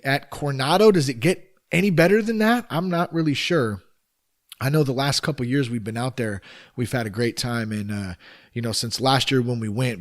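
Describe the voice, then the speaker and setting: A man hosting a solo podcast, his naturally raspy voice worn and tired, talking in a casual, upbeat, rambling way with many fillers. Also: medium pitch. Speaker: podcast host.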